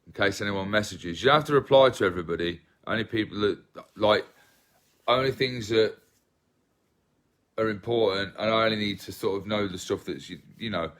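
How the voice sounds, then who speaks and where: Soft-spoken rambling man on a phone stream. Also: lowish voice, brisk. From England. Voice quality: relaxed voice. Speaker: livestream host. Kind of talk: casual livestream chat reading comments. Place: small living room at home, indoors. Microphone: phone held at arm's length.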